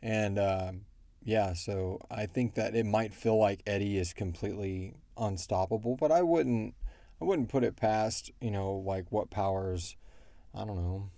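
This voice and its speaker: A man co-hosting a casual question-and-answer chat, thinking aloud and explaining things in a halting way. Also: on the low side, warm tone, no echo. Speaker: podcast co-host and show creator answering fan questions.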